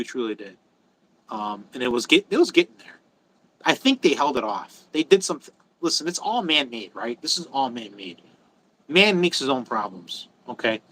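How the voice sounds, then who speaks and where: Bright-voiced, emphatic man on a livestream. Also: a little high, poor audio. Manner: emphatic, repeats phrases for stress; blunt, frequently swearing. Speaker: livestream host answering viewer comments. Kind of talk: casual, rambling opinion talk to viewers.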